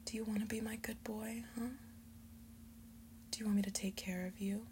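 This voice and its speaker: A woman playing a seductive, doting mature succubus mother figure in breathy, whispered ASMR roleplay, slow, coaxing and soothing. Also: medium pitch, flat delivery, a little mellow, slight background noise, lightly acted. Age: adult.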